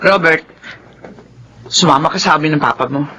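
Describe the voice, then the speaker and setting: A woman's voice: low voice, moderate pace, bright, forceful tone, a little hiss or hum, low-quality recording. An actress playing a fretful mother of two grown sons in a Filipino radio drama, with a Filipino accent. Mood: bitter, grieving.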